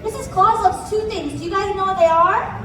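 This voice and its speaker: A woman playing a bubbly, eager Christmas elf assistant, excitable and playful as she talks to children in a live show. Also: extremely high pitch, a little fast, crowd noise. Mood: bubbly, excited. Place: live indoor attraction with audience. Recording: very echoey room, distant, noisy.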